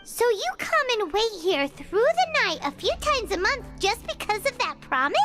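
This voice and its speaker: Game sidekick: excitable little fairy girl, squeaky and jumpy. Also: normal speed, heavily acted. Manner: bubbly, speaks of herself in third person. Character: tiny floating fairy companion girl, chatty and excitable. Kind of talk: game voice acting. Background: game music.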